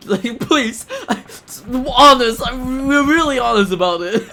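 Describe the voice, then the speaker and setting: A man's voice: very high-pitched, lively intonation, slow, sharp tone, slight background noise. A man giving casual, slightly hesitant live commentary as he plays through a video game speedrun. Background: video game music.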